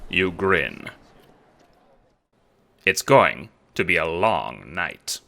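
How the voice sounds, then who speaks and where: A man narrating a second-person audio drama in a dramatic, deliberate way. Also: deep voice, big pitch swings, gravelly. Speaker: narrator and producer.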